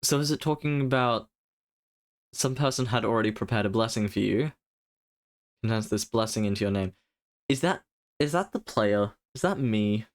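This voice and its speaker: A man giving casual live stream commentary on a game, halting as he thinks out loud. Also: lowish voice. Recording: faint game music behind.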